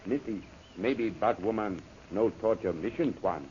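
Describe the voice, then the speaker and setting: Loyal cautious jungle guide, very low voice, clipped pidgin English, old radio adventure, muffled recording. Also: male voice, animated, measured pace, darkish tone, a little hiss or hum. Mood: wary. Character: loyal, cautious native guide guarding a young woman. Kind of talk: 1930s radio serial acting.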